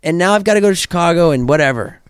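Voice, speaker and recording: A man's voice: fairly high pitch, expressive, racing, clean tone, no room sound, clean studio sound. A young man co-hosting a casual podcast, laid-back and teasing. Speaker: podcast co-host.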